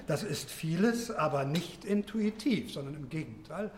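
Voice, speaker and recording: An actor playing a wordy, probing seminar lecturer in a radio drama, with an academic, halting manner. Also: male voice, a little high, animated, lightly acted. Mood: analytical, measured. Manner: academic, wordy, hesitant pauses. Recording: echoey room with faint noise.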